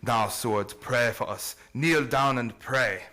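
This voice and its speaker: An actor playing an urgent, commanding vampire hunter in a radio play, solemn and dramatic, with stilted phrasing. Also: male voice, mid-range voice, unhurried, pushed and bright.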